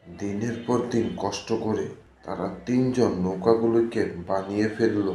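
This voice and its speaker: A man's voice: on the low side, fairly even intonation, normal speed, mellow, echoey room. A man narrating a scripted cartoon story.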